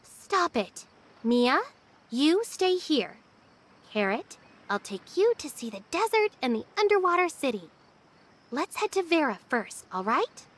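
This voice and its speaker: A woman voicing a brisk, teasing young female adventurer in an anime game, pert and sceptical. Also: high voice, sing-song.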